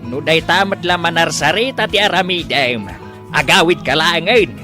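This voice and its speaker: A man's voice: fairly high pitch, normal speed, music in the background, natural voice. A man with an Ilocano accent acting a stubborn, bossy village father in a radio drama, blunt and emphatic. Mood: stern. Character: stubborn village father, bossy yet tender to his daughter.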